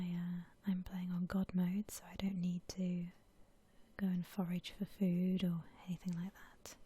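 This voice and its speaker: A woman gives whispered ASMR game commentary in a very breathy, soft voice. She takes her time, gently musing to herself as she goes. The tone is mellow and relaxed. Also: on the low side. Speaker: gaming ASMR host.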